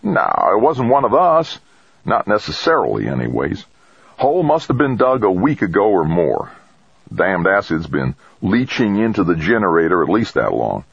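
A man's voice: deep voice, big pitch swings, normal speed, gravelly, slightly rough, dry recording, highs cut off, pitched above the performer's own voice, heavily acted. A man playing a bleak, blunt, folksy male engineer in an audiobook.

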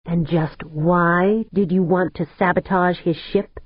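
A woman's voice: mid-range voice, animated, normal speed, husky, no echo, voice pushed up. A woman reading a seething, icy leader. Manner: cold, seething. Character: stern male colony leader, barely holding back fury. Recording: tinny phone-like sound.

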